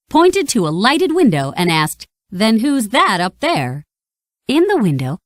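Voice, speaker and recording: Casting: female storybook narrator, expressive and dramatic, very lively pitch, dry close sound. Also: dry recording. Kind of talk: scripted children's read-along narration.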